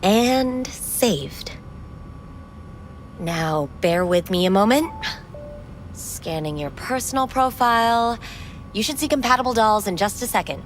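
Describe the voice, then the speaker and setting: An actress playing a poised, courteous female receptionist at a futuristic club in a video game, with a formal, measured manner. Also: fairly high pitch, expressive, soft background music, low-quality recording. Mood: polished. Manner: formal, measured, smoothly sales-like. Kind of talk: video game dialogue acting.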